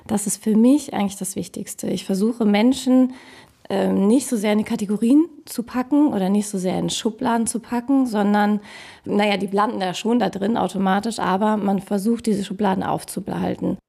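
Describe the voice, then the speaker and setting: A woman speaking thoughtfully about her values, pausing with fillers. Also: mid-range voice, gravelly, warm tone. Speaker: interviewee. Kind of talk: casual personal statement.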